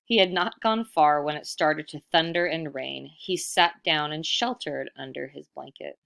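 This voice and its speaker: A woman reading a children's picture book aloud expressively, pausing for casual comments and questions. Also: sits low, creaky, a bit bright. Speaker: storybook reader.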